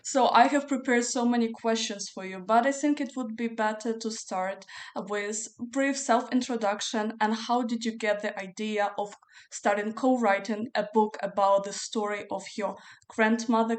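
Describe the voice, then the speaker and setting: A woman's voice: mid-range voice, fairly even intonation, moderate pace. A woman interviewer on a video call, greeting viewers and asking questions in a formal, eager and polite way. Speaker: interviewer and host. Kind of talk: scripted interview introduction.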